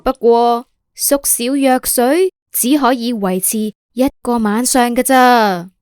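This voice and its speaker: A woman's voice: fairly high pitch, fairly even intonation, slow pace, darkish tone, clean tone, dry recording, clean studio sound. A woman with a Hong Kong accent narrating a scripted children's story in a simple, explanatory way.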